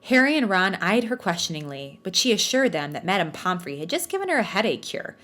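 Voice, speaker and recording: A woman's voice: medium pitch, sing-song, a little fast, sharp tone. A woman podcast host who reads fan fiction aloud in a storytelling style, with casual, self-mocking joking asides. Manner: jokey, self-mocking, bantering.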